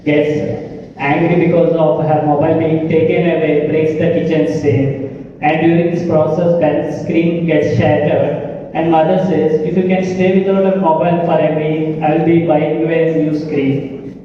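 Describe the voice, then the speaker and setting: A man giving a prepared spoken presentation, explaining deliberately in short, halting phrases. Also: fairly high pitch, warm tone. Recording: very echoey room, far mic, muffled, noisy.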